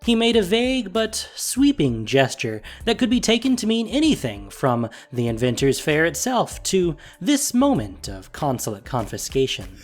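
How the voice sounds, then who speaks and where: A narrator reading a scripted story in an expressive, deliberate storytelling manner. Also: medium pitch. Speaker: narrator and producer. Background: music.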